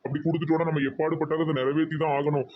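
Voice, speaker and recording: A kind, principled big brother acted by a man in a cartoon, talking quickly and evenly in a dull recording. His southern Tamil Nadu accent carries earnest, gentle advice. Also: a little high, little pitch movement, lightly acted. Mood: advising, reassuring. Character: kind, principled young elder-brother figure, earnest and generous. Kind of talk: amateur cartoon voice acting.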